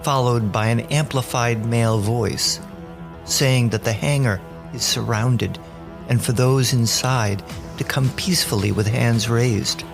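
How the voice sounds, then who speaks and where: A man's voice: mid-range voice, steady tone, a little slow, gravelly. A man narrating a scripted audio drama as the storyteller. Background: music bed.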